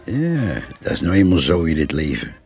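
A man playing an old countryman in a Dutch radio drama, musing on life and the farm in a folksy, rambling way with a gravelly, husky voice. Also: extremely low pitch, wildly swooping pitch, normal speed, darkish tone, no room sound, soft background music, full character voice. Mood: musing, wry.

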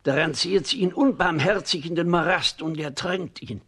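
A man playing a kindly, worried old villager in a children's radio play, husky and gravely cautioning. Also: a little high, normal speed, a bit bright. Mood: grave warning.

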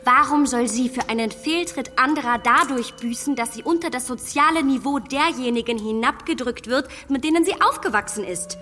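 An actress playing a clever, headstrong young matchmaker in a radio play, arguing in a spirited, defiant way. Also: female voice, high voice, normal speed, hardly any pauses, bright, forceful tone, in a large hall, soft background music.

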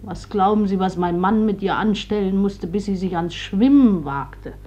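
An actress playing an older, grieving mother in a radio drama, plain-spoken, measured and resigned. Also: mid-range voice, mellow, lightly acted. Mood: earnest, resigned. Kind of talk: German stage-like radio drama acting. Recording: dull old radio drama recording.

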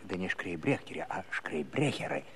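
A man's voice: low voice, big pitch swings, moderate pace, gravelly, fairly bright tone, slightly rough, full character voice. An actor playing a lovesick, jealous village Cossack in a musical-comedy audio play, telling his tale in a folksy, confiding way with theatrical sighs. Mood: hushed, confiding. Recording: long hall reverb.

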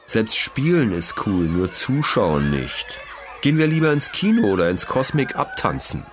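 A man voicing a bored, good-natured schoolboy detective who grumbles in a hushed whine in a children's audio mystery. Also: fairly low pitch, big pitch swings, moderate pace, a crowd in the background, background noise, voice pushed up. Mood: whiny, bored. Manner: whining, hushed complaining in youth slang.

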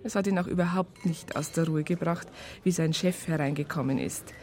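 Actress as wry, lowish-voiced Bavarian female narrator. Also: darkish tone, natural voice. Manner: wry, colloquial, unhurried. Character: wry female storyteller recounting police case files. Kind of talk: radio drama narration.

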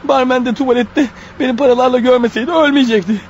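Radio play role: wounded killer on the run, very high-pitched, rapid, agitated, self-pitying, fading strength. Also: male voice, steady tone. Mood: anguished, self-justifying. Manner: agitated, muttering to himself, snapping at others. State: weak, bleeding, fighting off sleep. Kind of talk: radio drama acting with inner monologue. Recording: dull radio recording with faint noise.

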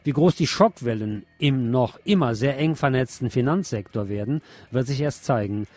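A man's voice: mid-range voice, normal speed, darkish tone, no room sound. A man reads scripted political commentary as a radio presenter. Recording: music playing behind the voice. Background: music.

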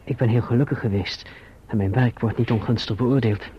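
An actor playing an earnest, courteous young gentleman who also narrates his own story in a Dutch radio play. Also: mid-range voice, a little fast, slight background noise, close to an everyday voice. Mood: composed, reflective. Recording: muffled old radio recording.

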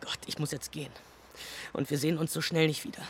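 A man's voice: a little high, level tone, fast talker, natural voice. An actor plays a cold young man fed up with a former lover. He is curt, cutting and defensive in a radio drama, with faint noise behind him. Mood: exasperated.

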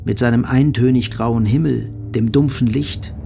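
A man giving scripted literary narration with a husky, creaky voice. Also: medium pitch, steady tone, moderate pace, dark tone, faint music behind.

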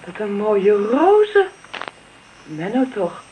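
A woman's voice: medium pitch, very animated, slow pace, dark tone, slight background noise, low-quality recording. An actress playing an earnest, candid young divorced mother in a Dutch radio drama. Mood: moved, happy.

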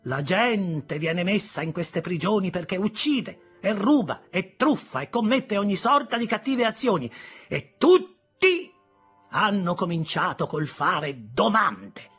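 A man voicing a harsh, sharp-tongued older sister who scolds a boy, in a shrill, exasperated way. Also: big pitch swings, takes it slowly, husky, faint music behind, heavily acted. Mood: scolding.

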